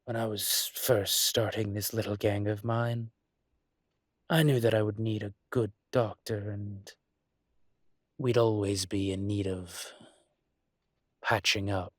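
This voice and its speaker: A man playing a teasing, smug but protective dragon shifter mafia boss in an intimate roleplay audio, speaking in a measured, deliberate way with dramatic pauses. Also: lowish voice, steady tone, no echo, studio quality. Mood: reflective, calm.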